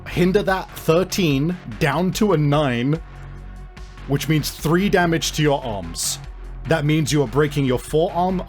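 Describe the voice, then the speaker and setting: A man acting as game master of a tabletop role-playing game, explaining rules in a deliberate, hesitant way. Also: fairly high pitch. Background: music.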